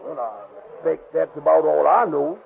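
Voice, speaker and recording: An actor playing a nervous, evasive, simple witness, stammering and deferential in folksy rustic speech, in 1930s radio drama style. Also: male voice, on the high side, expressive, a little slow, vocal fry, full character voice. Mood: hesitant. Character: nervous, evasive, simple male eyewitness at a dump. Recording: tinny, band-limited 1930s radio recording.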